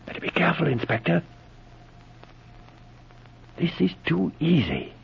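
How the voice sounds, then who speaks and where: An actor playing a wary, loyal marshal, hushed and plain-spoken, in a 1940s radio adventure serial. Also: male voice, fairly high pitch, sing-song, unhurried, gravelly, breathy edge, full character voice. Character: wary, loyal marshal, the inspector's male sidekick. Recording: muffled, noisy old radio recording.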